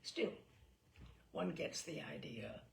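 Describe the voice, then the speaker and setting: Woman narrating a funny storybook, really lively pitch, some fry in it. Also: slow pace, a little hiss or hum. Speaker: story reader. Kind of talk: scripted comic story narration.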